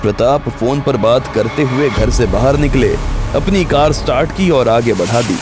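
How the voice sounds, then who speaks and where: A man narrates a scripted audio story in a medium-pitched voice. Soft music plays behind his narration. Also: lively intonation, moderate pace. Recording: background music under the voice.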